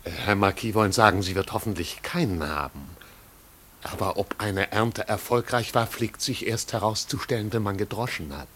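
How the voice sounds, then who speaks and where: An actor playing a dry, deferential yet disapproving manservant in a 1950s radio play, speaking formally and with measured irony. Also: male voice, on the low side, a bit bright, natural voice. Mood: drily sceptical. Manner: formal, measured, drily ironic. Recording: noisy 1950s radio drama recording.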